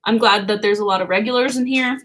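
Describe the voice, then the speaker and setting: A woman's voice: mid-range voice, fast talker, background noise. A young woman hosting a birthday livestream from home, chatting casually and giggling, with a lot of "like". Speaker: livestream host. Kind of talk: casual chat with viewers. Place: home, indoors.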